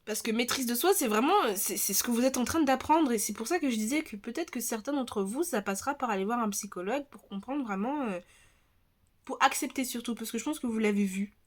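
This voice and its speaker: A woman with a French accent giving a relaxed tarot reading, talking in a chatty, hesitant way with many restarts and a slightly stuffy nose. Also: a little high, brisk. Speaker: tarot reader. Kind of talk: casual tarot reading video.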